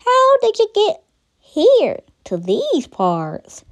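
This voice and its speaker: A woman's voice: extremely high pitch, wildly swooping pitch, extremely slow, voice pushed up, full character voice. A woman voicing a big old male alligator with a folksy American country drawl, friendly, in a children's storybook. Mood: folksy, curious.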